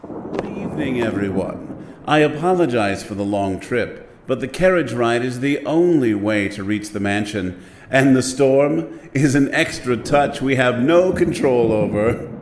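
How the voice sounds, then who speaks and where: A male actor as the polite master of a spooky mansion in an audio drama. His medium voice is formal and courteous, with clear room echo and faint noise. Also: mid-range voice, expressive, unhurried, close to an everyday voice. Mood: gracious. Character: courteous, smooth-mannered male host of haunted mansion. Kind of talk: horror audio drama acting.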